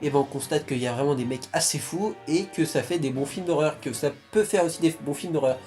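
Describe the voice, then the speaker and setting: Rambling young French man, slightly high voice. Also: fairly quick, a little mellow, faint music behind. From France. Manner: rambling, many restarts and fillers. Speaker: YouTuber. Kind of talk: casual vlog talk.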